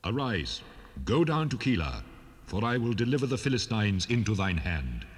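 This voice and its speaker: God for Bible audio drama: lowish, solemn, commanding, drenched in long reverb. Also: male voice, very animated, normal speed. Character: God answering with grave, commanding authority. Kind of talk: dramatized King James Bible recording. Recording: long reverb, unprocessed 1978 cassette rip.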